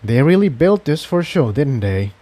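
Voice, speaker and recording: A man voicing an urgent, clever male pony adventurer with a hurried manner in a storybook reading. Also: mid-range voice, big pitch swings, dark tone, natural voice. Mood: focused.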